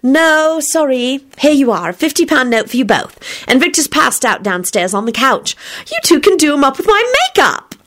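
A woman's voice: sits high, very animated, moderate pace, a bit bright, voice pushed up. A woman voicing an emotional, defiant young woman with an insistent manner in an audiobook. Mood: fervent. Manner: fervent, insistent.

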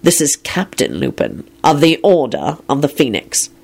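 A woman voicing a cool, dry-humoured male minister who speaks flatly and firmly, in a storybook reading. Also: extremely low pitch, normal speed, vocal fry, slightly rough, heavily acted.

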